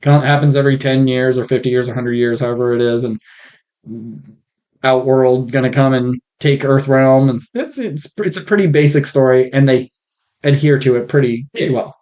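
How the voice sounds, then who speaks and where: Guy chatting bluntly about movies on a podcast, opinionated, mid voice, muffled rough mic. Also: medium pitch, fairly even intonation, moderate pace, darkish tone. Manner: blunt, opinionated, swears casually. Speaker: podcast co-host. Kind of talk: casual film chat.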